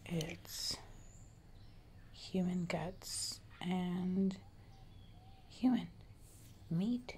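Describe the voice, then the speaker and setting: Slow, whispering haughty giantess queen, acted by a woman. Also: on the low side, a little mellow. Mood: coaxing, imperious. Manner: slow, condescending, close-up whispering and murmuring. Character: haughty jungle giantess queen, menacing, man-eating. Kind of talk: whispered ASMR roleplay. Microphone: close mic in front of camera. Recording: close mic with audible background noise.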